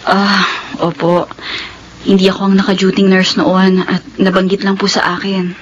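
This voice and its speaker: A woman's voice: medium pitch, completely flat delivery, normal speed, highs cut off, a little hiss or hum, natural voice. A woman playing a heartbroken young nurse, tearful and earnest, in a radio drama. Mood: hesitant, subdued. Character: heartbroken young nurse pleading with her comatose ex-boyfriend. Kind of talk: Tagalog radio drama acting.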